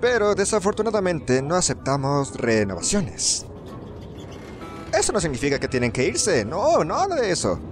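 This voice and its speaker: A man's voice: on the high side, wildly swooping pitch, moderate pace, music in the background. A man with a Latin American Spanish accent voicing an eccentric, chatty museum owner in a game dub, effusive and rambling. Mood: effusive, apologetic. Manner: effusive, rambling, stammering repeats. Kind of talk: video game fan-dub acting.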